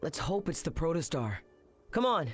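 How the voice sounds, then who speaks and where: A brash teenage alien boy captain from an animated game. His pitch swings widely as he banters with cocky confidence. Music plays behind the voice. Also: normal speed. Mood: serious, urgent. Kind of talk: animated video game acting.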